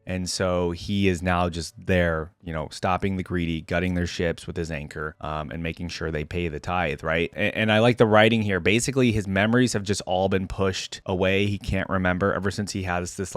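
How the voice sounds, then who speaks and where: A man gives informal commentary as a reaction host. His voice sits a little low, with a very dry sound, and he talks casually as if thinking aloud. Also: flat delivery, normal speed, few pauses, clean tone, no echo.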